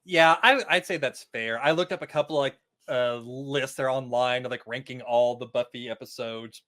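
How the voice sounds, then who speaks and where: A man's voice: mid-range voice, normal speed, pushed and bright. A man chatting casually as a podcast guest, explaining things in a rambling, stop-start way. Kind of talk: casual chat about a TV episode.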